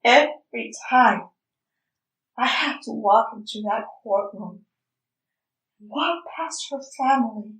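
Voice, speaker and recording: High-pitched anguished mother played by a woman, echoey. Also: slow, pauses often, fairly bright tone. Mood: anguished, angry. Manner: emotional, defiant, switches between English and Spanish. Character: anguished, defiant mother of a jailed son. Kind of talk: intense stage-play reading. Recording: echoey room, distant mic.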